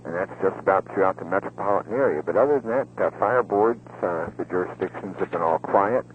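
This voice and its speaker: A man's voice: deep voice, a little fast. A man with an American accent giving a casual, rambling live radio report on the police and fire beat, full of hesitations. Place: out in the field, by radio link. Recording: noisy muffled two-way radio link, hum.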